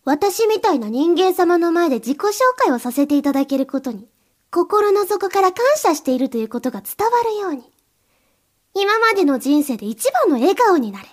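A woman's voice: extremely high pitch, big pitch swings, moderate pace. A woman playing a cold, domineering mistress in an erotic audio drama, giving curt, contemptuous orders to the listener. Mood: commanding.

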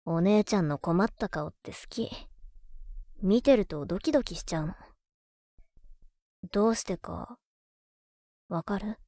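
A woman plays a doting, masochistic younger brother in an intimate audio drama. The voice is soft and coaxing, with a teasing edge and a medium pitch. The delivery is unhurried and lingering, in a very dry recording with no room sound. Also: lively intonation, no echo. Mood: playful, longing. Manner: soft, intimate, coaxing, sometimes dropping to whispers. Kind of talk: intimate Japanese audio drama, murmured monologue to a sleeping listener.

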